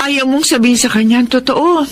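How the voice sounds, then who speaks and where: Actress as high, dramatic distressed mother, noisy recording. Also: fairly quick, natural voice. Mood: hurt, upset. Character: distressed, disapproving mother of grown daughter. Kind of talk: Filipino radio drama melodrama.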